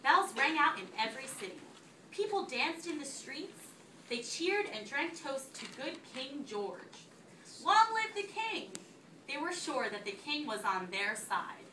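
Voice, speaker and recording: Bright, high-voiced woman teacher in echoey room. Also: sing-song, measured pace. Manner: instructive, checks understanding with questions. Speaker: visiting teacher reading aloud to a class. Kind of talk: classroom read-aloud with questions to pupils. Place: school classroom. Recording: echoey classroom, distant mic, faint noise.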